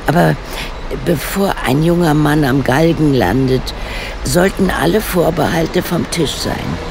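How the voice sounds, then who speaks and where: A shrewd older lady detective in a radio play, with a gravelly, creaky voice that moves in lively swings. She is bossy, genteel and very sure of herself, with clear background noise around her. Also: low voice, a little slow, heavily acted. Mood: composed, decided. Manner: formal, self-assured, genteel phrasing. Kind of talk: German radio play dialogue. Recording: clear vehicle and ambience noise.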